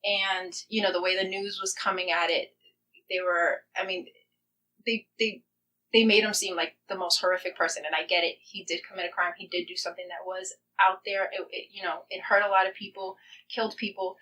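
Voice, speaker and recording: Woman interview guest, earnest and thoughtful, bright forward tone, slightly distant microphone. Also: mid-range voice, a little fast. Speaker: playwright guest. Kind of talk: conversational podcast interview.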